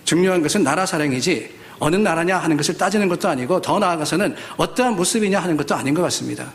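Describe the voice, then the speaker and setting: A man's voice: a little high, expressive, quick pace. A middle-aged man from South Korea giving a sermon as a pastor, speaking conversationally and posing questions to his listeners. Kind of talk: Sunday sermon with personal anecdotes.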